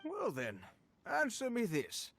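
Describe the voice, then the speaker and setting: A man's voice: very high-pitched, wildly swooping pitch, slow pace, a bit bright, dry recording, full character voice. An actor playing an argumentative male scholar in a video game, emphatic, formal and challenging, with music in the background. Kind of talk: theatrical video game voice acting.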